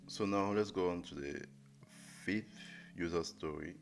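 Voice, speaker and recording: Low, slow, gravelly young man. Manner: halting, many fillers and restarts. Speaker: coding livestreamer. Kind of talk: live coding tutorial, thinking aloud. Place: indoor room at a desk. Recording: music playing under the voice. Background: music playing and keyboard typing.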